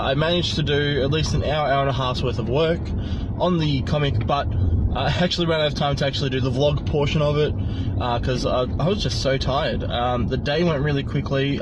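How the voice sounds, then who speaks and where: A man, sniffly from hay fever, chatting casually in a rambling vlog full of ums and uhs. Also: mid-range voice. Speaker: comic artist vlogger. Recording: muffled audio with loud vehicle noise.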